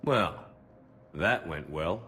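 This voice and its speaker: An actor playing a stoic military commander in a video game, terse, direct and matter-of-fact. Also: male voice, sits low, lively intonation, extremely slow, pushed and bright, a little hiss or hum, heavily acted. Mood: businesslike. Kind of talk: cinematic game dialogue.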